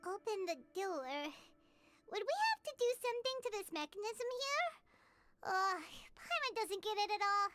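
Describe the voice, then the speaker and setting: A woman voicing an excitable, blunt tiny floating companion in a game who talks about herself in the third person. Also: very high voice, normal speed. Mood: curious. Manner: speaks of herself in third person, exclaims often. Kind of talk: game voice acting. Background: loud game music.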